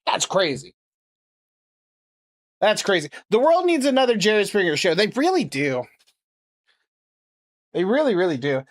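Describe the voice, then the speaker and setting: Lively, crude, sarcastic prank caller. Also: medium pitch, a little slow, pauses often. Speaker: prank caller and streamer host. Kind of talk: casual livestream chat and prank calling.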